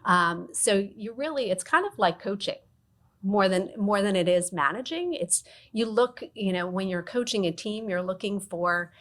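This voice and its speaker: Woman panelist, team leader; measured, reflective, mid-range voice. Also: mid-range voice, a bit bright. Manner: thoughtful, restarts often, coaching tone. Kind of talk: panel discussion.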